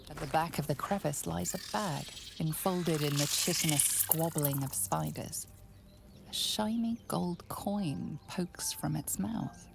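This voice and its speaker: An actress playing a grave, all-knowing fantasy game narrator with a measured, ominous delivery. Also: female voice, low-pitched, gravelly. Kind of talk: scripted second-person game narration. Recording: loud game music behind. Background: game music.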